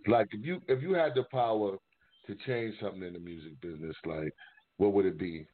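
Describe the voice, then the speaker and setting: Guy hosting a laid-back interview, kinda low voice, keeps rewording his questions, tinny phone sound. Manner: halting, rephrases questions mid-sentence. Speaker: interviewer, show host. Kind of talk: casual interview chat. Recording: narrow-band, phone-like sound.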